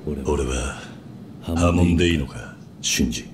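An actor playing a stoic, loyal young gangster in a video game drama, speaking tersely and gravely. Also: male voice, extremely low pitch, slow, noisy background. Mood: subdued.

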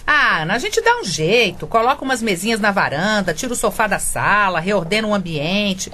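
A woman's voice: mid-range voice, very animated, fairly quick, sharp tone, slight background noise. An actress playing a middle-aged mother in a radio soap, coaxing and scheming to get her way. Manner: coaxing, wheedling. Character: scheming mother Nélia, sweet-talking cook.

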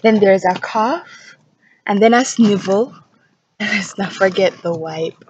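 A woman performing a theatrical audition monologue as an exasperated, emphatic complainer disgusted by sick people, with deliberate pauses. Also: mid-range voice, darkish tone, husky, dull audio, heavily acted. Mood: indignant.

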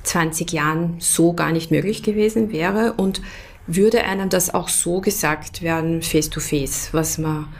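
A woman's voice: fairly low pitch, measured pace. A woman hosting a podcast, putting questions to a guest in a conversational way. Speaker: podcast host, interviewer.

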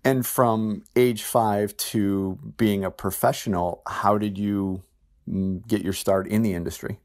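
A man hosting a podcast, reading a measured scripted introduction and then asking interview questions. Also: on the low side, fairly even intonation, a little mellow. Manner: measured, announcer-like delivery. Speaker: podcast host.

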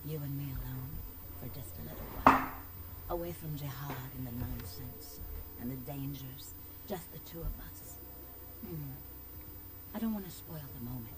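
Low-voiced sultry vampire seductress. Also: female voice, loud music behind the voice, poor audio. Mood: seductive. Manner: slow, coy, flirtatious purring. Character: sultry seductive female vampire club owner. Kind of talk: video game character acting.

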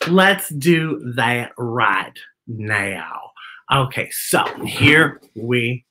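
Theatrical, bright-voiced man hosting children's storytime. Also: mid-range voice, animated, very slow, a little hiss or hum. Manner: theatrical, playful, exaggerated delivery for children. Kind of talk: children's read-aloud with chatty asides. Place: living room, indoors.

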